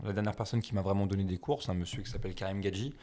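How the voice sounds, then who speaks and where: A man's voice: deep voice, steady tone, racing, background noise. A man in his thirties from France, chatting casually as an interview guest, rambling and self-deprecating with lots of fillers.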